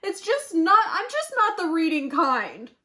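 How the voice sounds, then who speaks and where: A woman's voice: very high-pitched, huge pitch swings, a little slow, pushed and bright, pitched above the performer's own voice, exaggerated voice. A woman voicing a shifty, scruffy, touchy male wolf with a dramatic, emphatic delivery in a picture-book reading. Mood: wounded, indignant. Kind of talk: picture book read-aloud.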